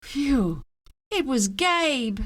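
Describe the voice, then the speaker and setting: Slow, animated woman reading a storybook. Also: sits high, slight background noise. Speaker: storybook reader. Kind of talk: expressive children's read-aloud narration.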